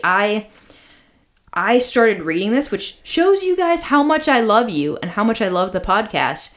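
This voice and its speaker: A woman co-hosting a podcast, chatting and telling stories in an informal, slangy way with emphatic repetition. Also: medium pitch. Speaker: podcast co-host. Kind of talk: casual chat, storytelling.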